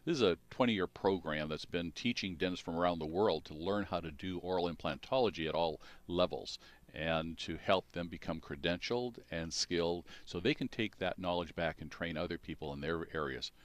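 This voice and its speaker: A man in his sixties, a dentist guest on a radio show, explaining his work in a measured way. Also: sits low, no room sound. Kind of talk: radio interview answers. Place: small radio studio. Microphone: studio mic.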